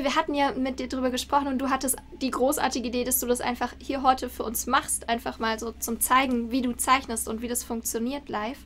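A woman's voice: sits high, fairly bright tone. A woman in her thirties moderates a conversation as host over a video call. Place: room with bookshelves. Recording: noisy video call, room ambience.

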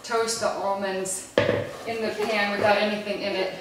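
A woman's voice: mid-range voice, little pitch movement, normal speed, noisy background. Woman cooking in an echoey, clattering kitchen. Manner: patient, coaxing with small children. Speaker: mother hosting a home cooking video. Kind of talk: casual cooking demonstration, chatting with children. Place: large home kitchen, indoors. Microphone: camera across the counter. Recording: echoey kitchen, distant mic, clattering pans. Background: dishes, pans, clicks, small children.

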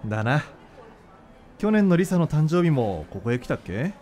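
A man's voice: a little high, sing-song, moderate pace, a little mellow. A man acting in a radio drama as an earnest young doctor in love, speaking informally and from the heart. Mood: casual, tender. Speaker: voice actor.

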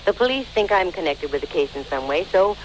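A woman's voice: on the low side, animated, fairly quick, lightly acted. An actress playing a wisecracking young female private detective with a dry, breezy delivery, in 1940s radio style. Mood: no-nonsense. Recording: noisy vintage 1940s radio recording.

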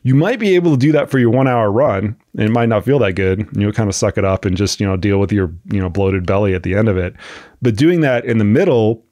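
A man's voice: fairly low pitch, fast talker, dark tone, dry recording, studio quality. A man hosting a podcast with a cold and a sniffle, explaining at length in a casual, thinking-aloud way with frequent restarts. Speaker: podcast host, coach. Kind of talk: casual expert discussion.

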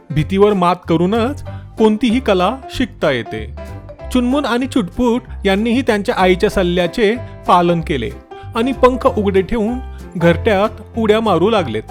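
Guy narrating a kids story, high voice, very lively delivery, light music under it. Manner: expressive, dramatic storytelling. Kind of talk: scripted children's story narration.

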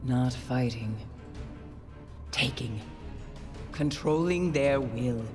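A woman's voice: rumbling low, very slow, full character voice. An actress playing a haunted female occult seer, terse and ominous, in a game cutscene. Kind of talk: video game cutscene acting. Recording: music under a long reverb.

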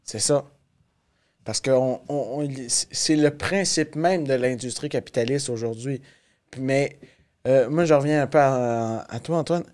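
A man's voice: medium pitch, a little slow, darkish tone. A man with a Quebec French accent hosting a casual studio discussion, repeating words as he frames his questions. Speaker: podcast host. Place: small podcast studio, indoors.

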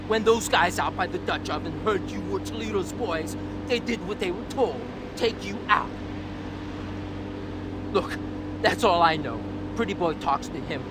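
Video game biker gangster: high-pitched, excitable, theatrical, heard through heavy noise. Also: male voice. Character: mean, mocking biker gangster, turning to desperate begging. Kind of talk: video game villain acting. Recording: loud noise over the voice.

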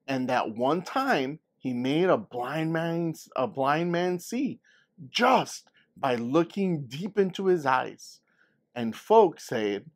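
A man reading a storybook as a folksy elderly Southern grandmother telling tales of her childhood. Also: fairly high pitch. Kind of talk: storybook read-aloud.

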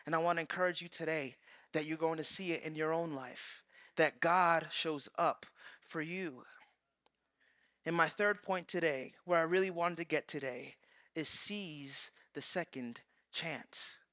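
A man in his thirties preaching a sermon on a large church stage, animated, acting out quoted voices and swinging between loud and soft. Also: a little high, unhurried, dry recording, clean studio sound. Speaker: pastor.

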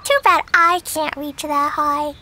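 A woman's voice: high-pitched, steady tone, a little slow, noisy background. A woman voicing an earnest, childlike little girl adventurer in a video game. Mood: eager, trusting. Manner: childlike, wide-eyed, earnest. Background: game music.

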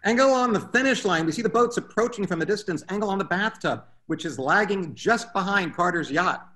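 A man's voice: high voice, lively intonation, fairly quick, pushed and bright. A man reading stage directions as scripted narration at a table read over a video call. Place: room at home, video call.

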